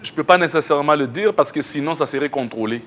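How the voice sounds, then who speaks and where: A man's voice: a little high, fast talker, a bit bright. Congolese man with a Québec-tinged accent. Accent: Québécois-tinged French. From Kinshasa, Congo. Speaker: education researcher, guest. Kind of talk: interview answers. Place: TV studio.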